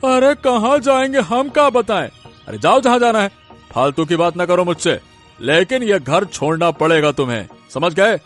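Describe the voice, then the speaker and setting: A man's voice: sits high, expressive, moderate pace, fairly bright tone, faint music behind. A man voicing a sly village land-grabber in a cartoon story, taunting and condescending. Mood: domineering.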